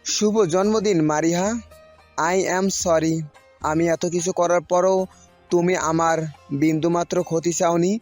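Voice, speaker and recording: Guy acting remorseful young dude, flat delivery, story drama, light music. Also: high voice, measured pace, pauses often. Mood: apologetic. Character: remorseful, humble young man seeking forgiveness.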